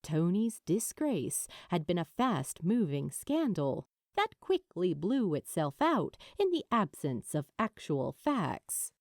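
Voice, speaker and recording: A woman narrating fiction from a script in a measured, expressive storytelling manner. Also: fairly low pitch, dry recording, clean studio sound. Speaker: amateur audiobook narrator.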